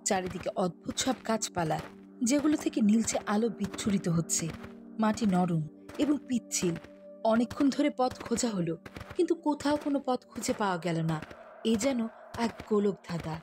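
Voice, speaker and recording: A woman's voice: medium pitch, animated, normal speed, darkish tone, soft background music. A woman reading scripted storybook narration as the narrator of a fairy tale.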